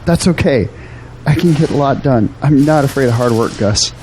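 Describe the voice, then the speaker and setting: A man's voice: medium pitch, normal speed, a little mellow, slight background noise, close to an everyday voice, rough recording. An actor playing an earnest, desperate young man, pleading politely in an audio drama. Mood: eager. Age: young adult.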